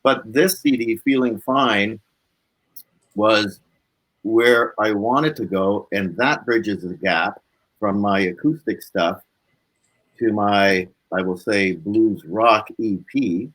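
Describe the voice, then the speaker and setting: A man's voice: lowish voice, takes it slowly, a bit bright, rough recording. A man speaking as a musician guest in a casual interview, reflective and earnest in manner.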